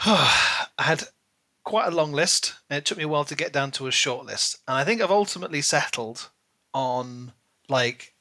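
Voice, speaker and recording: A man's voice: a little high, lively intonation, moderate pace, sharp tone, dry recording. A man co-hosting a casual book discussion podcast, talking in a rambling way and often rephrasing himself. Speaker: podcast co-host.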